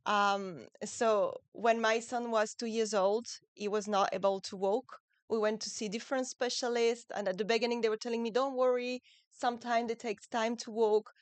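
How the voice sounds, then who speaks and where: A middle-aged woman from France with a French accent, a guest in a casual interview, speaking gentle, halting English. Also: on the high side, steady tone, smooth, no room sound. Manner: gentle, halting non-native English phrasing.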